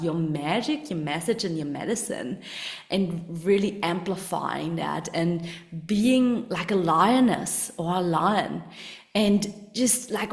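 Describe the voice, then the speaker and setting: A woman guest talking earnestly and enthusiastically about spiritual ideas. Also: fairly low pitch. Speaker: podcast guest. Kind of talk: casual interview chat. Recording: echoey room.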